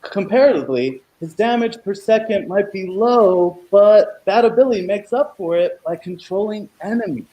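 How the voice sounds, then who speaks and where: A rambling, irresponsible stoner gamer acted by a man from a superhero radio comedy. His voice sits high for a man, and he is middle-aged, talking over a video call. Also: expressive, normal speed, mellow, poor audio. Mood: enthusiastic explaining. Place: room at home, video call.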